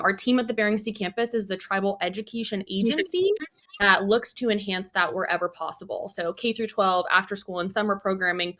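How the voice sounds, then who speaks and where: A woman's voice: medium pitch, fairly bright tone. A woman from Alaska, an academic director, presenting at a conference over a video call, informative with a few "um"s. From Alaska, USA. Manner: clear, informative, with fillers. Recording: muffled video call audio.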